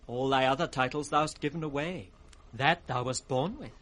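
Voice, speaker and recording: An actor playing a witty, impudent court fool in radio Shakespeare, with a sing-song, riddling delivery. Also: male voice, medium pitch, moderate pace, a bit bright, natural voice. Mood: mocking. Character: witty, mocking court fool, teasing the king. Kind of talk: 1960s British radio Shakespeare acting, with sung rhymes. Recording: vintage 1960s radio drama recording.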